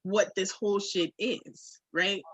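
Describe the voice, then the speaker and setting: A woman's voice: mid-range voice, steady tone, slow, husky, dry recording. A woman talking candidly and casually, reflective but emphatic, with some swearing.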